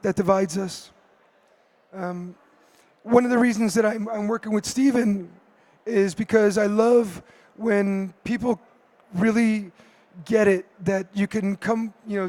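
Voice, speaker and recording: A man with a cold and a hoarse voice, speaking hesitantly as an artist on a conference panel, with many fillers and restarts. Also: sits high, a little mellow. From United States.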